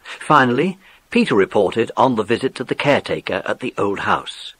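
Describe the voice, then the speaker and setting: A man narrating a children's mystery story in a measured, expressive storybook style. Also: lowish voice, husky. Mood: measured storytelling.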